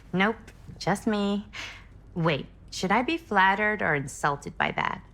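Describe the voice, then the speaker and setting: A woman's voice: mid-range voice, animated, slow pace, fairly bright tone, heavily acted. An actress playing a wry, plain-spoken, curious young woman in a video game cinematic, with a casual, slightly hesitant delivery. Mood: amused.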